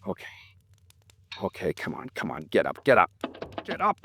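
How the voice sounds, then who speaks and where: An American actor playing a tough, relentless, righteous insurance investigator in a 1950s radio drama, with a hard-boiled, insistent manner. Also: male voice, low-pitched, wildly swooping pitch, moderate pace, a bit bright, slight background noise, heavily acted. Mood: fierce, commanding.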